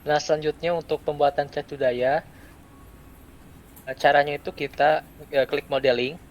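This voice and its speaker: A young adult man gives a step-by-step software tutorial, speaking in short, halting phrases with pauses. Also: on the high side, steady tone, rough recording. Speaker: student presenter giving a software tutorial. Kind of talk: step-by-step screen tutorial, unscripted. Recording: clear background noise.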